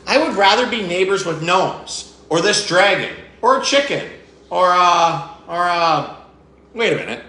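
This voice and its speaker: A man's voice: high voice, lively intonation, a little slow, sharp tone, roomy sound, slight background noise, exaggerated voice. A man reading aloud as a grumpy, jealous male goblin who complains indignantly.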